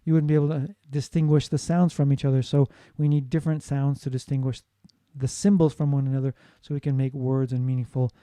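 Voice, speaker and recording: A man's voice: medium pitch, level tone, brisk, few pauses, warm tone, clean tone, no echo. A man from the United States giving a classroom lecture on phonetics, explaining steadily and often asking "right?" to check in with students. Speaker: English teacher.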